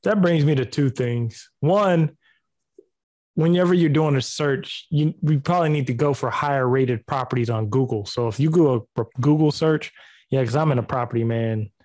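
A man's voice: medium pitch, moderate pace, a little mellow, no echo. Chill guy on a podcast, mid voice, dry close sound. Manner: laid-back, says "man" a lot. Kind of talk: casual podcast chat.

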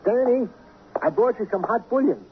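An actor playing a fussy, doting, mild police colleague with quaint phrasing, in 1950s radio drama style. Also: male voice, very high voice, animated, moderate pace. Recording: tinny, faintly noisy old radio recording.

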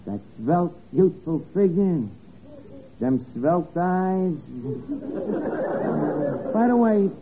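A muffled, hissy vintage radio recording carries a sardonic New barman. His high voice swoops freely as he lays on mock flattery and mangled grammar, a brash comedy wiseguy. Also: very slow, pauses often, over a noisy crowd, full character voice. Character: brash wisecracking barman, fast-talking, mangles words, sarcastic. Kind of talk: 1950s radio comedy acting. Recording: muffled, noisy old radio broadcast.

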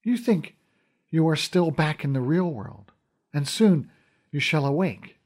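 A man voicing a regal fairy queen who speaks in calm, formal, measured phrases. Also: on the high side.

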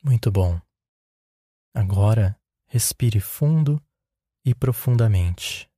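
A Brazilian man guides a meditation in a lowish, very mellow voice. His delivery is calm and unhurried, giving each instruction plainly. The sound is dry, with little room around it. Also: breathy edge, dry recording. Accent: Brazilian Portuguese.

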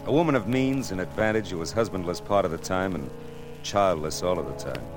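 An actor playing a wry, cool private detective in a hard-boiled, dry, laconic style from 1940s radio drama. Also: male voice, low voice, a little fast, over music, natural voice. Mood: wry, reflective. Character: wry, cool male private detective narrating his case.

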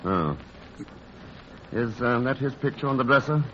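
A man's voice: mid-range voice, expressive, moderate pace, close to an everyday voice. A man playing a suave, flirtatious playboy in a 1940s radio romantic comedy, polite and hesitant in his charm. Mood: smooth, flirtatious. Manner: hesitant, polite, smooth-talking. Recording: muffled, noisy old radio broadcast.

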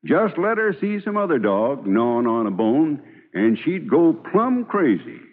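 An actor playing a kindly, humorous, persuasive frontier lawyer in an American radio drama, with a homespun storyteller's American manner of speech. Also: male voice, fairly high pitch, sing-song, unhurried. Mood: genial, persuasive. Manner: homespun, drawling storyteller with folksy sayings. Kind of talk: old-time American radio drama acting. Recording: muffled old radio recording, long hall reverb.

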